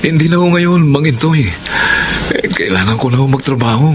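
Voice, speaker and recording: An actor playing a kindhearted widower son in a Filipino radio drama. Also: male voice, medium pitch, lively intonation, normal speed, background noise, close to an everyday voice. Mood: humble, earnest. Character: grieving widower son turned kindhearted provider. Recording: music behind the voice.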